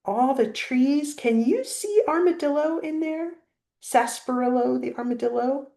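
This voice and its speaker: An older woman reading a picture book aloud as a grandmother, pausing to prompt a child with gentle questions. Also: high voice, vocal fry. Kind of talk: storybook read-aloud with asides to a child.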